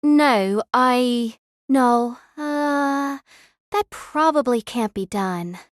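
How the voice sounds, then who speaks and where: A woman acting a flustered, shy young woman in an audio drama, halting and hesitant. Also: high voice, dry recording, studio quality.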